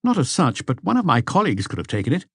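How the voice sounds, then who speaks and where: A man's voice: wildly swooping pitch, quick pace, slightly rough, no echo, clean studio sound, heavily acted. A man voicing an uneasy, evasive boyfriend in an audiobook, hesitant and defensive as he answers questions. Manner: hesitant, defensive, higher-pitched.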